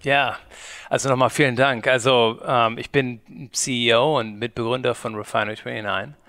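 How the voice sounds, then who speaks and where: A man in his forties from Germany, a company co-founder giving an interview, who talks haltingly with many fillers and restarts. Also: medium pitch, fairly even intonation, fairly bright tone, dry recording, clean studio sound. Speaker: guest, media company co-founder. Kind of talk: conversational interview.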